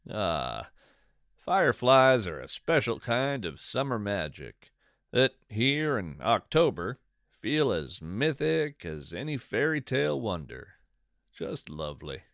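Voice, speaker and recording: Male storyteller host, slow and expressive, folksy and whimsical, conversational musing on a close mic. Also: on the low side, vocal fry, no echo. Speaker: podcast host and narrator. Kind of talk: in-character whimsical fiction monologue, conversational storytelling.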